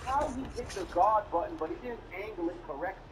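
A man giving a mock-serious, deadpan breakdown of a knockout replay. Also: high voice, quick pace, background noise. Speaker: video narrator. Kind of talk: mock sports-analysis commentary.

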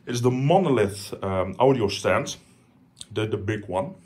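A man's voice: fairly low pitch, animated, a little slow, darkish tone, husky. A man from the Netherlands with a Dutch accent, casually showing his home stereo system, talking with enthusiasm and many fillers and restarts. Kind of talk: casual home tour vlog. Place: living room at home.

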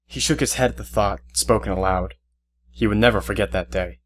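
A man narrating a scripted drama in a measured, suspenseful storytelling manner. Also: fairly low pitch, a little hiss or hum.

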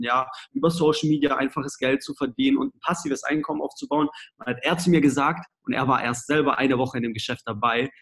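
A man of twenty-five with a cold-roughened voice, casually telling his life story on a home video call. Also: medium pitch. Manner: casual, lively storytelling with slang. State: hoarse from a cold. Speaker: guest speaker. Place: small room at home, indoors. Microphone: laptop webcam, video call.

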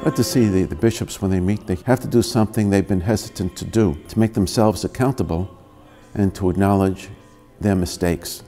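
A man's voice: sits low, fairly quick, warm tone, long reverb tail, soft background music. A man speaking earnestly and urgently in a documentary interview.

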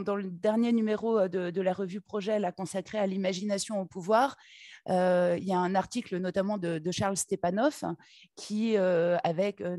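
A woman from Paris giving a conference talk at a table microphone, pausing often with fillers and restarting her phrases. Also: mid-range voice, mellow, no echo, clean studio sound. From Paris, France. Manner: hesitant, many filler "euh" and restarts. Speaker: essayist and conference speaker. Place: conference room, seated at a table. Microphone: table microphone on a stand.

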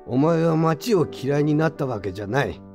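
An actor playing a gruff but kindly old craftsman over sixty in an audio drama, with old-fashioned, admonishing yet reassuring speech. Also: fairly high pitch, lively intonation, moderate pace, darkish tone, soft background music. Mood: gentle, wise. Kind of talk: Japanese audio drama acting.

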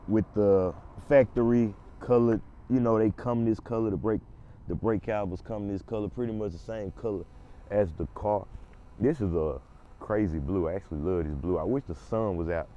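A man with a hoarse voice from a cold, casually reviewing a car outdoors in a parking lot, talking straight to his viewers. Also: fairly low pitch, dark tone, muffled recording. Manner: laid-back, talks straight to viewers. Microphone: handheld phone camera.

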